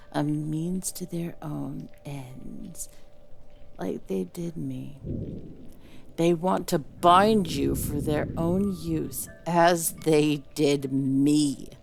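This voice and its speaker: A woman's voice: deep voice, vocal fry, a little mellow, exaggerated voice. A woman playing a bitter, mocking imprisoned dragon soul in a dramatic audio roleplay, with a theatrical, taunting delivery. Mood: grave, knowing.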